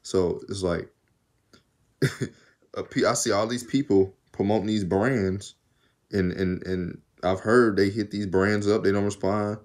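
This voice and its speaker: Deep-voiced Southern man chatting casually. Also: steady tone, measured pace, a little mellow. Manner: rambling, talkative, laughs often. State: arms tired while undoing braids. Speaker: vlogger. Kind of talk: casual chat to camera. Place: small room indoors. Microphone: phone camera at arm's length.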